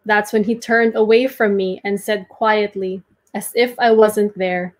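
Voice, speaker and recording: Young woman, mid-pitched, very unhurried and deliberate, dramatic prose reading from a home room. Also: steady tone. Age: young adult. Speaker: performer reading a literary piece. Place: small domestic room, indoors.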